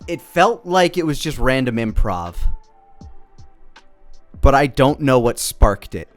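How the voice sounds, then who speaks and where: A man's voice: medium pitch, very animated, slow, faint music behind. A man giving casual, off-the-cuff commentary as a creator looking back on his own work. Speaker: creator reviewing own parody episode.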